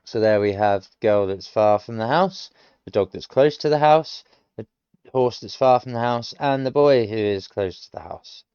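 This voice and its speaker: A man's voice: mid-range voice, moderate pace, dark tone, smooth, no echo, highs cut off. Musing British man working through lessons. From United Kingdom. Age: late 30s. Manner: explains each answer step by step, musing, with frequent hesitations. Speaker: self-taught learner streaming. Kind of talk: thinking aloud while working through lessons.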